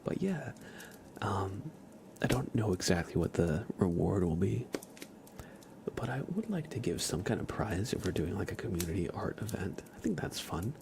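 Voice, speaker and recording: A man hosting a casual ASMR livestream, speaking softly and informally, often slipping into a breathy whisper. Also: low voice, normal speed, background noise. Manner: relaxed, informal, uses y'all. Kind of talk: casual ASMR stream chat.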